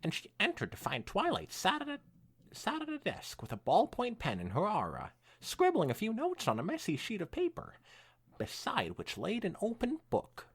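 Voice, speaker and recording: A young man hosting a podcast, reading fan fiction aloud in an animated way mixed with casual chat. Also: sits high, moderate pace, husky.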